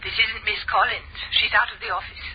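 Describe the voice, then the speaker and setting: An actress playing a tart, teasing young wife in a radio drama. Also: female voice, medium pitch, little pitch movement, a little fast, husky. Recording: muffled, noisy telephone-style radio recording.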